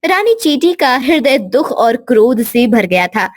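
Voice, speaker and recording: A woman's voice: fairly high pitch, very animated, a little slow, dry recording, clean studio sound. A woman storyteller giving scripted narration for a cartoon tale.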